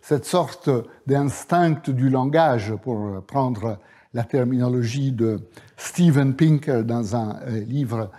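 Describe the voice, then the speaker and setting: A male professor whose first language is Italian, giving a university lecture at a measured pace with frequent hesitations and fillers. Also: medium pitch, mellow. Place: lecture hall.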